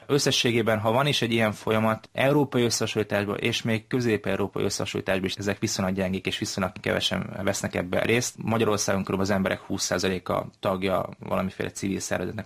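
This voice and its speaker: A man giving measured, analytical explanations as an expert guest in an interview. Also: on the low side, gravelly, a bit bright.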